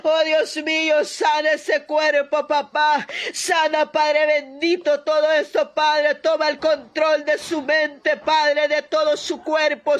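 High-pitched woman in fervent, slow prayer. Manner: impassioned, pleading, loud crying-out, punctuated by repeated invocations. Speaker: prayer leader.

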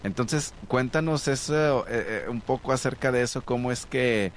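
A man's voice: mid-range voice, normal speed, slight background noise. A man, asking questions in a casual interview in a friendly, slangy way.

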